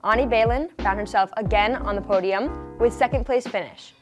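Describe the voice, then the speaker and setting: A woman's voice: on the high side, moderate pace, over music. A young woman reading the school sports updates from a script with an upbeat, slangy delivery. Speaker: student sports reporter.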